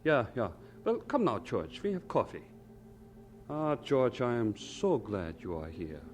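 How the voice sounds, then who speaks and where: An actor playing a kindly older German doctor in an audio drama, jovial and fussing, with a German accent and stilted phrasing. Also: male voice, mid-range voice, huge pitch swings, unhurried, a little mellow, slight background noise. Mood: concerned, fatherly.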